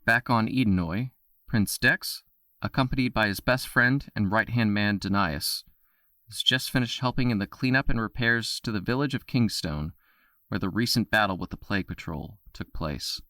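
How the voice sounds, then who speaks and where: A man giving steady, descriptive scripted narration for an audio drama. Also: on the low side, moderate pace, no echo.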